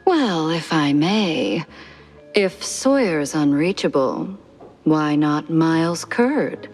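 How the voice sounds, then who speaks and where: An arch flirty socialite mouse in a noir game, slow and swoopy, music behind. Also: female voice, low voice, expressive, a little mellow, heavily acted. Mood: arch, languid. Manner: languid, arch, drawn-out words. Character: theatrical, flirtatious socialite lady mouse, proud and worldly. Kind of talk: film noir video game voice acting.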